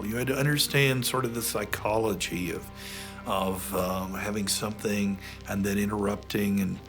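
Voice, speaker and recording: A man with a lowish voice comments in an unscripted documentary interview, working out his thoughts in halting phrases. Music is prominent behind him. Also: fairly bright tone.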